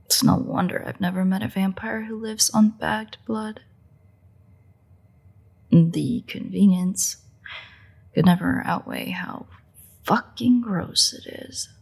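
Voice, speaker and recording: A woman playing a sardonic, teasing female vampire in a roleplay audio drama, with a husky, breathy, gravelly voice and a sarcastic, exasperated manner. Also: mid-range voice, slow pace, long pauses, a little mellow, full character voice. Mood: disgusted, grumbling. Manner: sarcastic, mocking, swearing, dry exasperation. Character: sardonic female vampire, teasing, crude, grudging toward a hunter. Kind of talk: roleplay audio drama, intimate monologue to the listener.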